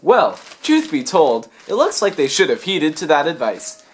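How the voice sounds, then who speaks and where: A man's voice: a little high, huge pitch swings, moderate pace, breathy edge. A young man reading a scripted history narration for a student project. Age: young adult.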